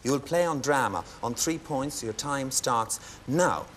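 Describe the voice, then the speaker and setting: A man hosting a television quiz show, announcing clues and scores in a crisp, formal way and lifting into cheers for winners. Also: medium pitch, animated, unhurried, fairly bright tone. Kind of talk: brisk game-show hosting. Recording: studio audience and background noise.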